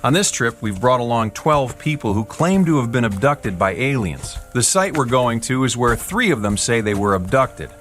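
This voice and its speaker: A man's voice: mid-range voice, big pitch swings, normal speed, hardly any pauses, a bit bright, faint music behind. An actor playing a skeptical, easygoing male UFO investigator in a radio drama, speaking in a dry, teasing way. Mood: explanatory. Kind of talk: radio drama acting, conversational.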